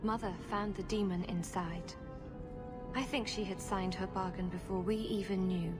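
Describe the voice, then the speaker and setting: An actress playing a proud, contrite noblewoman in a video game, formal and measured, set on making amends. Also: medium pitch, steady tone, over loud music, lightly acted. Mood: regretful. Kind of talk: video game cutscene acting.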